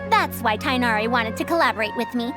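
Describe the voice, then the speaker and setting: A woman playing a crafty, long-lived scholar in a video game, airy and unhurried, with playfully formal diction. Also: fairly high pitch, music in the background, lightly acted. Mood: smug bluffing.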